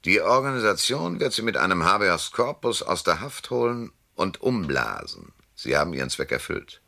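A hardboiled private detective tells his case in a radio crime drama. The voice is extremely deep and crackles with fry, yet moves in lively swings. The delivery is sardonic and laconic, closely recorded and dry. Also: moderate pace, dry recording, heavily acted. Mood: cool, menacing. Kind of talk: German radio crime drama, first-person noir narration and dialogue.

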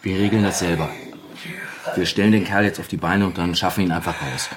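A man's voice: deep voice, fairly even intonation, quick pace, background noise, natural voice. An actor playing an impatient man taking charge in a naturalistic radio drama, brusque and commanding. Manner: brusque, commanding, repeating himself. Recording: clear background commotion of people.

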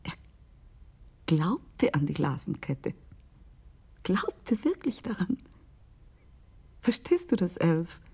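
A woman's voice: on the low side, sing-song, slow pace, vocal fry, pauses often, a little mellow, breathy edge, full character voice. An actress playing an intense American businesswoman confiding a scandal in a radio drama monologue, sometimes dropping to a whisper. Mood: incredulous, confiding.

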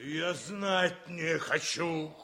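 Slow-spoken indignant officer. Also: male voice, a little high, crowd noise. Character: indignant, stubborn officer demanding his winnings. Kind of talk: Soviet radio drama acting.

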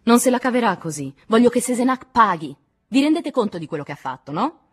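A woman voicing a fierce, vengeful young woman in an audiobook, shouting and harsh as she demands answers. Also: mid-range voice, animated, a little fast, breathy edge, voice pushed up. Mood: indignant, angry. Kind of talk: dramatic audiobook character acting.

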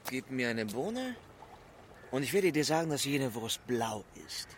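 An actor playing a cynical, scheming swindler in a radio drama, speaking in a declarative, sardonic, coolly philosophical way. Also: male voice, mid-range voice, very animated, unhurried, slight background noise. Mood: challenging.

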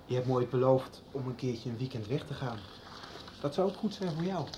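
A man's voice: medium pitch, lively intonation, a little fast, mellow, a little hiss or hum, close to an everyday voice. A man acting an insistent, frustrated teenage son in a Dutch radio soap. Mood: hesitant, hopeful.

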